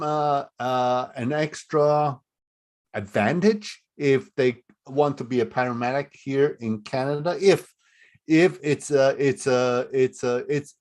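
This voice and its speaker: A man moderating a webinar Q&A over a video call, chatty and halting, with frequent laughs and restarts. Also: medium pitch. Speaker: forum moderator.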